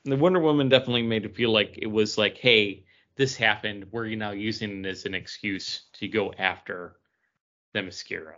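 A man's voice: on the low side, fairly even intonation, moderate pace, fairly bright tone, dull audio. A man co-hosting a podcast, talking casually and giving his opinions on what he reviews. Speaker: podcast co-host reviewing comic books.

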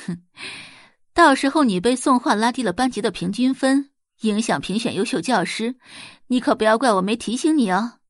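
Smug female schoolteacher for an audiobook, played by the woman narrator: gloating, needling, lively pitch, dry recording. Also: moderate pace, no room sound, lightly acted. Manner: gloating, insinuating. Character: smug, catty young woman high-school teacher. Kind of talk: audiobook character acting.

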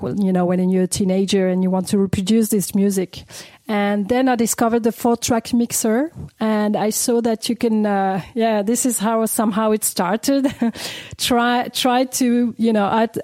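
Warm-voiced woman, hesitant. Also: medium pitch, no echo. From France (Paris). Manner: hesitant, many restarts, laughs between phrases. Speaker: guest, DJ and producer. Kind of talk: casual interview answers.

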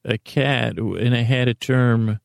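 Middle aged guy rambling on his podcast, kinda goofy, croaky voice, super dry recording, keeps restarting and wandering off. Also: medium pitch, dry recording. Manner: meandering, halting, repeats and trails off into tangents; silly, whimsical. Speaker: podcast host. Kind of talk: rambling comedic monologue.

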